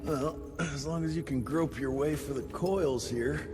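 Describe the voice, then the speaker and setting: An actor playing a surly, threatening American Wild West agent in a video game, blunt and irritable. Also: male voice, a little high, measured pace, natural voice. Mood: sardonic. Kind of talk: video game cutscene acting. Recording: loud music under the voice.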